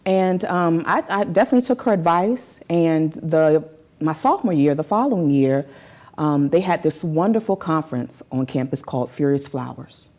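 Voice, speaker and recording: Woman guest on an interview, deep mellow voice, earnest n emphatic, says stuff twice to make the point. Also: animated, moderate pace. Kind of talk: conversational interview, personal storytelling.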